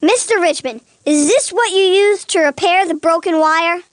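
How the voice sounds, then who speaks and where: Earnest high-voiced boy assistant lawyer. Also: moderate pace, a crowd in the background. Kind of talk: animated educational courtroom dialogue.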